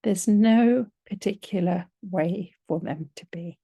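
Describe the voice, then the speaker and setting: Very slow, low-voiced woman guiding relaxation. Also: slightly rough, no echo, studio quality. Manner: gentle, unhurried, soothing, with long pauses. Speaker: yoga nidra and meditation teacher. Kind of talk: guided relaxation meditation.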